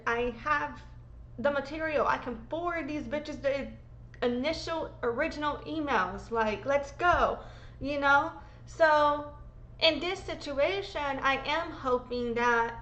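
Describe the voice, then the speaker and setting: A woman's voice: high voice, bright, forceful tone, dull audio. Sick woman rambling in an echoey room. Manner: emotional, profane, rambling. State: sick, sore throat, voice lower than usual. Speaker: vlogger. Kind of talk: casual rambling vlog. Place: home room being packed up.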